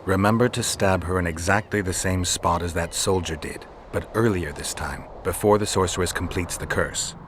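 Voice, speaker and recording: An actor playing a stoic, world-weary monster hunter in a video game, gravelly and matter-of-fact. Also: male voice, low-pitched, moderate pace, few pauses, background noise, natural voice. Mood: calm, instructing. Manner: terse, flat, matter-of-fact.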